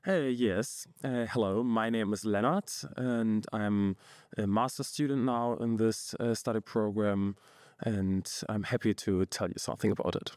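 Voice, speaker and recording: A man's voice: on the low side, a little slow, dry recording, studio quality. Hesitant young man. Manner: relaxed, hesitant with many uh and um. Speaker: master's student guest. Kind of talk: podcast interview answers.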